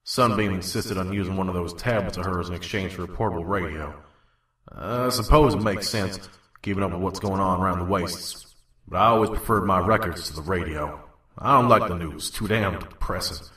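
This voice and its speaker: A jaded pony trader narrates his wasteland travels , as acted by a man, folksy and drawling. The voice is low and forceful. It sounds roomy and set back from the mic. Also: fairly quick. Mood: weary, grumbling. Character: world-weary male pony trader wandering a wasteland, gruff narrator. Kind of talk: scripted first-person narration and audio drama. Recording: roomy, echoing, distant mic.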